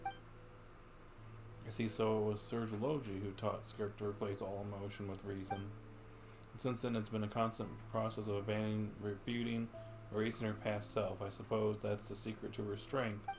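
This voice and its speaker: A man livestreaming a game, reading the dialogue aloud in a halting, dramatic way. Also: on the low side, little pitch movement. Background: game music.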